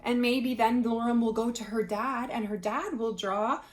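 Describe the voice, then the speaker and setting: A woman's voice: a little high, fairly even intonation, moderate pace. A woman reading aloud and explaining things to children in a conversational way, like a teacher. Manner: conversational, asks the listener questions while reading.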